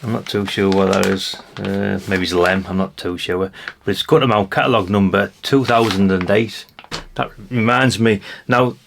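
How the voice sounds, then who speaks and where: A man's voice: lowish voice, fairly even intonation, normal speed, creaky, a little hiss or hum. A British man giving a casual hands-on show-and-tell as a vlogger, musing aloud in a rambling way with chatty asides to the viewers. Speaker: vlogger showing a haul of vintage CB radios.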